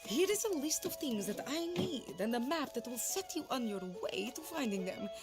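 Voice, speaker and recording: An actress playing a mysterious, shrewd collector in a western video game, with measured, drawn-out, theatrical phrasing. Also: female voice, sits high, animated. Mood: enigmatic, inviting. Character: mysterious, shrewd woman collector recruiting treasure finders. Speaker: collector and merchant. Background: music.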